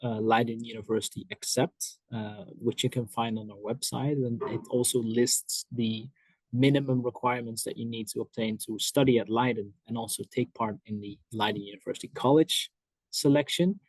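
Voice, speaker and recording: A man from admissions staff answering questions over a video call, explaining procedures in a measured way. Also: medium pitch, steady tone, a little mellow, breathy edge, no echo, rough recording.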